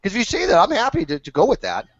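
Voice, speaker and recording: A man's voice: high-pitched, huge pitch swings, racing, crowd noise. A man moderating a heated live online debate, insistently pressing for direct answers. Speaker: host moderating a debate panel. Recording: dull, lo-fi online call audio.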